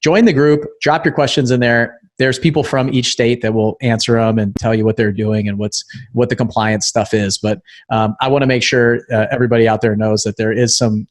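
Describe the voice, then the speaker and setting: A man hosting an interview, explaining and asking questions in casual conversational talk. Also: medium pitch. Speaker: podcast host.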